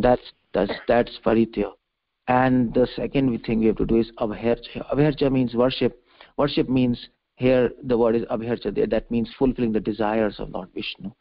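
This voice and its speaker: A man delivering a religious lecture as a preacher, explaining scripture emphatically in halting, repeated phrases over a conference call. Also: lowish voice, vocal fry. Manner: emphatic, repetitive, halting phrases with restated words. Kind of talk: religious discourse, explaining a verse. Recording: dull conference-call audio.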